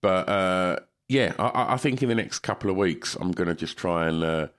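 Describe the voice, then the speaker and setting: A man's voice: deep voice, normal speed, a bit bright. A man, chatting on a podcast, a bit congested from a cold, rambling and swearing. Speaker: podcast co-host. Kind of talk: casual chat.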